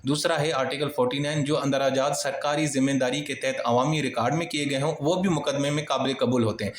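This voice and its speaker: A man's voice: medium pitch, fairly even intonation, fast talker. A man with a Pakistani accent giving an informal exam-prep lecture, explaining point by point in a conversational way with everyday examples. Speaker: law lecturer. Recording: echoey, reverberant room sound.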